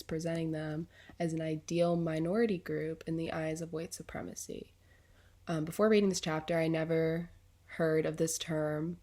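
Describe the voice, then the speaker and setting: A woman's voice: sits low, vocal fry, a little mellow. A young woman who is sick and congested, speaking earnestly as a student vlogger reflecting on a class reading, with frequent ums.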